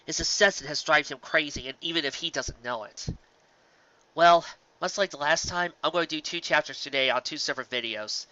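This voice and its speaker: Fan fiction reader-reviewer: earnest, emphatic, bright and forceful, pausing for drama, dry lo-fi sound. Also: mid-range voice, dry recording. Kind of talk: story reading and casual review commentary.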